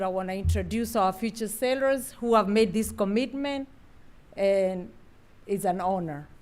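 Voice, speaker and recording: A woman's voice: high voice, a bit bright, slight background noise. A middle-aged woman from Kenya, a Navy chief speaking earnestly and gratefully at a ceremony, then calling out names. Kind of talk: ceremony speech.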